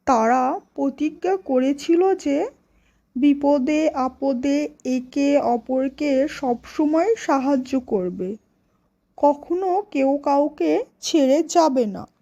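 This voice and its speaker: A woman voicing a studious, obedient young pupil in a cartoon, reciting answers in a measured way. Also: high voice, mellow, muffled recording. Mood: dutiful.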